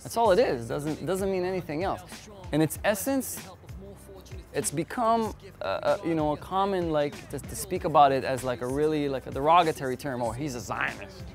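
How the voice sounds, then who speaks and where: Higher-pitched man, rapper in conversational interview. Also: moderate pace, soft background music. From Israel and Montreal, Canada. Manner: rambling, repeats words, peppered with "like" and "you know". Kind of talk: casual, conversational interview talk.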